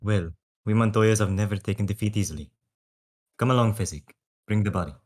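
A man's voice: fairly low pitch, a little fast. A man reading screenplay lines aloud in a theatrical way on a group video call.

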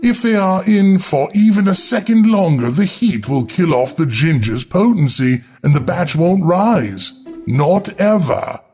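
A man's voice: high voice, animated, unhurried, a little mellow, faint music behind. A man reading scripted, darkly humorous narration in a wry, theatrical instructional manner.

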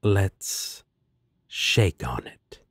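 A man's voice: low-pitched, animated, drawn-out words, fairly bright tone, breathy edge, dry recording, exaggerated voice. A man playing a sly, laid-back demon roommate in an audio drama, teasing and sardonic with a coaxing drawl. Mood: amused, laid-back. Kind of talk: roleplay audio drama acting.

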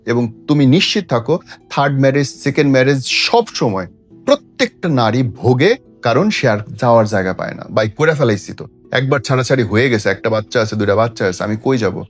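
A man's voice: medium pitch, lively intonation, brisk. Emphatic preachy man over background music. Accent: Bangladeshi. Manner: emphatic, preachy, with rhetorical questions. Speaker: radio host giving relationship advice. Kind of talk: opinionated advice talk. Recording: music playing behind the voice.

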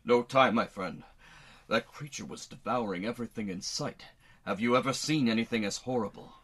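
A man's voice: medium pitch, moderate pace, a bit bright, breathy edge. A man playing a brash, slightly dim space adventurer in an old-time radio sci-fi serial. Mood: breathless urgency.

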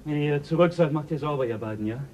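An actor playing the commanding officer of a spaceship crew, giving urgent orders in a radio drama. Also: male voice, a little high, fast talker, darkish tone, muffled recording, slight background noise, close to an everyday voice. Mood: tense. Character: ship commander Jell, tense and in charge. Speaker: crew leader.

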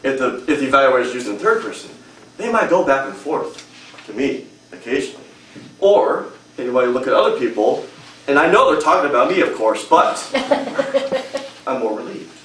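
A man's voice: medium pitch, very animated, normal speed, a bit bright, a little hiss or hum. A young adult man giving a humorous prepared speech at a public speaking club, with a strong, emphatic delivery in a hotel meeting room. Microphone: far camera. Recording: echoey room from far off.

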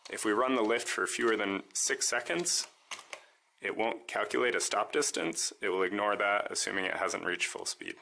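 Guy with a gravelly voice explaining his gadget menu step by step, bright sharp tone. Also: lowish voice, moderate pace, slight background noise. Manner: calm, methodical step-by-step explaining. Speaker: maker demonstrating his device. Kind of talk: voice-over product demo.

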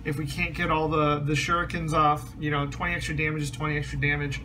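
A man's voice: a little high, level tone, fast, pushed and bright, a little hiss or hum, low-quality recording. A man casually explaining a trading card deck, rambling with many ums and restarts, his voice a bit strained. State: slightly losing his voice, clears throat. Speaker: card game deck reviewer.

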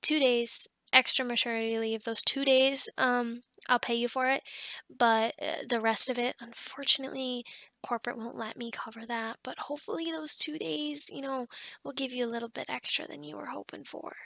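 A woman acts out a bubbly, kindly female office boss with a high, bright voice. She rambles cheerfully and encouragingly in a casual ASMR roleplay, a little scatterbrained. The sound is close and dry. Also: fairly even intonation, normal speed, creaky, no echo, heavily acted. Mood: excited, caring. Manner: chatty, encouraging, rambling with soft laughs. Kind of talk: ASMR roleplay monologue, casual pep talk.

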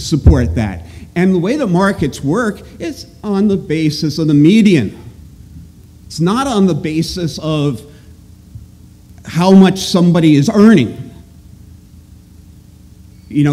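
A man giving halting, opinionated, emphatic testimony off the cuff at a podium microphone in an auditorium. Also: fairly high pitch, mellow. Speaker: resident and economist giving public testimony. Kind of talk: halting off-the-cuff public speech. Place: large auditorium. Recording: clear background noise in auditorium.

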